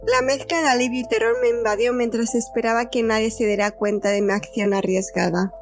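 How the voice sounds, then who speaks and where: Woman doing a scared but stubborn captive girl telling her story, highish voice, audio drama, bit of music under. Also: steady tone, fairly quick, lightly acted. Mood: anxious, secretive. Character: frightened, determined captive young woman recording herself. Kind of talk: first-person dramatic narration in audio fiction.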